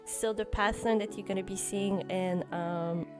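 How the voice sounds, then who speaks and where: A young French Canadian woman talks through her bullet journal drawing in a loose, chatty voice-over, filling pauses with ums and uhs. Her pitch sits at a middling level for a woman. Clear music plays underneath her. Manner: relaxed, rambling, many ums and uhs. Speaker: journaling YouTuber. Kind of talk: voice-over narration of a drawing session. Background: background music.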